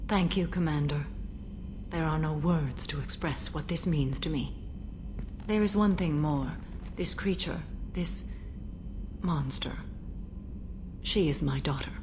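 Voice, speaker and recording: A woman playing a centuries-old, stoic alien warrior in a video game, speaking in a grave, measured, formal way. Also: low voice, noisy background. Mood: sorrowful, restrained. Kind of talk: dramatic video game dialogue.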